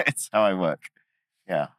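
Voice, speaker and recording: A man's voice: on the low side, huge pitch swings, takes it slowly, fairly bright tone, no echo. Cheerful man, dry close voice. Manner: upbeat, laughing often. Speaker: guest. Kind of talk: casual conversation.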